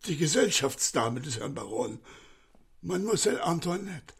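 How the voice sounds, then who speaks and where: An older man playing a distraught, deferential elderly manservant in a radio crime comedy, speaking in an agitated, halting way. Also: a little high, sing-song, vocal fry, slightly rough, heavily acted. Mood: bewildered, sorrowful.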